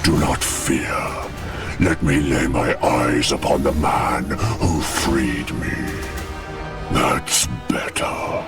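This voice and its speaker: Game villain: ancient demonic tyrant, extremely low, unhurried, pompous and menacing. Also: male voice, over music, heavily acted. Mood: grandly condescending. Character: ancient grandiose demonic tyrant being, male. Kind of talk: video game cutscene acting.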